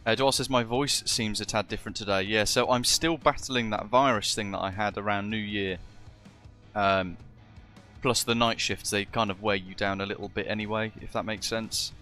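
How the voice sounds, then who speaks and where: A British man streaming as a virtual ground controller, chatting casually with viewers and speaking radio calls, his voice a bit husky and chesty from a lingering virus. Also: lowish voice, fairly even intonation, moderate pace, sharp tone, faint music behind. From Britain. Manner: chatty, greets viewers as matey. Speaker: streamer acting as virtual air traffic controller. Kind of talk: live stream chat and radio phraseology.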